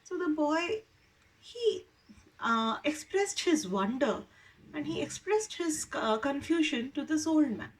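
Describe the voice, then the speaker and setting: A woman tells a story in an informal, spoken style. Her high voice moves through lively rises and falls at a slow pace, with repeated phrases for emphasis. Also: low-quality recording.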